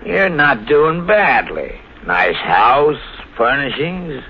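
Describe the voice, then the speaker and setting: Sly blackmailer, slangy, very swooping delivery, old radio thriller, dull recording. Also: male voice, medium pitch, very animated, takes it slowly. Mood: sly, menacing. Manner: casual, slangy, needling. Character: sly, insinuating stranger, a blackmailing former asylum worker. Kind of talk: 1950s radio thriller acting.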